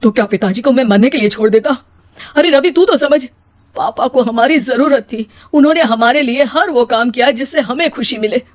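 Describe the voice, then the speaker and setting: Woman acting as an elder brother, high voice, earnest, caring, cartoon family drama. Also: moderate pace, lightly acted. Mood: earnest appeal. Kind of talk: melodramatic cartoon story acting.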